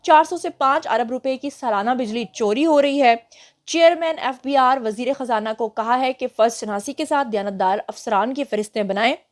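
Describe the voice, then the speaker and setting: A young woman with a Pakistani Urdu accent runs through the day's headlines as a channel host, her voice a little higher than most. She has a sore throat and keeps her pace slow and careful to spare it. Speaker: news show host. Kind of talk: casual news roundup for a channel.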